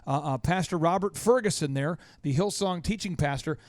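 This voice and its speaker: A man's voice: a little high, moderate pace, no echo. A man hosting a business talk show, joking and self-deprecating as he interviews a guest, in a dry studio recording. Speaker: podcast host. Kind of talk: energetic show intro and interview.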